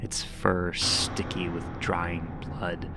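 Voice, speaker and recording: A man's voice: deep voice, little pitch movement, drawn-out words, noisy background. A man hosting scripted horror commentary, with a wry, sarcastic manner and dry asides. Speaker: YouTube host.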